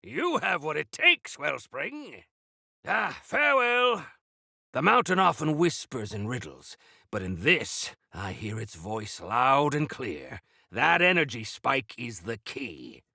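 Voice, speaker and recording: An actor playing a proud, stalwart older leader of mountain folk in a video game, with a rough gravelly voice and theatrical, old-fashioned formal phrasing. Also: male voice, medium pitch, big pitch swings, slow pace, pushed and bright, no room sound, studio quality, full character voice. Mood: encouraging, then solemn, measured. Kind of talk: video game character acting.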